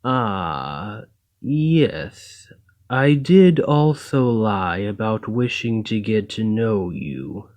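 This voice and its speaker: Man playing a very slow-spoken, coldly logical golem. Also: on the low side, lively intonation, darkish tone, highs cut off. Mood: detached, curious. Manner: formal, measured, detached phrasing. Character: escaped male golem, coldly logical, polite, self-serving captor. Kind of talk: ASMR roleplay acting.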